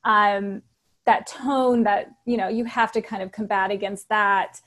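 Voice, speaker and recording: A soft-spoken woman in her thirties with a higher voice, a lawyer chatting as an interview guest with lots of fillers. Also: fairly even intonation, a little slow. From Texas. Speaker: business attorney guest. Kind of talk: casual interview talk.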